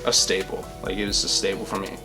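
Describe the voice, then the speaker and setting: A young man with a deep, projecting voice, chatting casually as a podcast host, rambling and swearing freely. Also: completely flat delivery, fast, over music. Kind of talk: casual chat.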